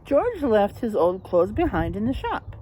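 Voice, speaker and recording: A woman's voice: mid-range voice, wildly swooping pitch, a little slow, dark tone, slight background noise, low-quality recording. A woman reading a storybook aloud for children as host, explaining simply and addressing young listeners directly.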